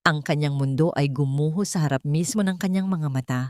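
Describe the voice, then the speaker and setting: A woman reading a scripted love story as a narrator, in a dramatic, sentimental and expressive way. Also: low-pitched, fairly quick, no room sound, clean studio sound.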